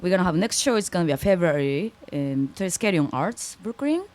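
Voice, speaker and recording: A woman who is a guest dancer talks slowly and tentatively, often pausing to search for the right English word. Her voice sits a little low for a woman. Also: animated, darkish tone. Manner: hesitant, searching for words. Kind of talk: casual interview.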